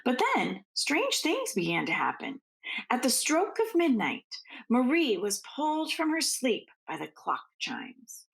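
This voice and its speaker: A woman reading a children's story aloud like a teacher, expressively, with drawn-out phrasing and hushed asides. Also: fairly high pitch, huge pitch swings, gravelly, low-quality recording.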